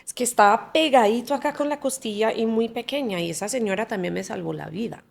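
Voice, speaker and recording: A woman from the United States in her forties whose first language is English, telling her story as a podcast guest in an animated way, laughing often and restarting her phrases. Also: on the high side, quick pace, creaky. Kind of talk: candid conversational storytelling.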